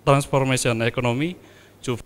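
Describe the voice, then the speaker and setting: A young man from Indonesia asks a halting question from the floor, breaking off and restarting his words. His voice is smooth and resonant, and it rings out with a long reverb in the hall. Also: mid-range voice, steady tone. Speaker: student asking a question from the audience.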